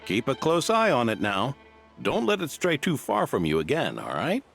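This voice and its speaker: A man voicing a kindly, protective older grandfather figure in a video game, with a gravelly voice. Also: medium pitch, huge pitch swings, moderate pace, fairly bright tone, soft background music, heavily acted. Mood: gentle chiding.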